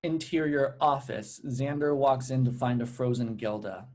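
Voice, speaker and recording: A man's voice: mid-range voice, normal speed, darkish tone. A man reading out stage directions as scripted narration at a table read.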